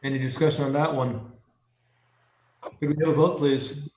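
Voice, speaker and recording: A man's voice: on the high side, fairly even intonation, normal speed, a little mellow, a little hiss or hum. A man chairing a formal public meeting, moderating discussion and calling for motions. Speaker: commission chairman. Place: remote meeting call. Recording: echoey remote meeting audio.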